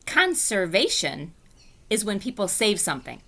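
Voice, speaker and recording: A woman's voice: medium pitch, exaggerated voice. A woman doing a weary, patient teacher. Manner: calm, measured, explaining. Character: patient, weary female schoolteacher.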